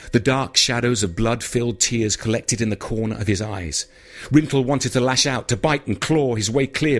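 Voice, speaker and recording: A man reading horror fiction as an audiobook narrator, in a scripted, dramatic storytelling style. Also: medium pitch.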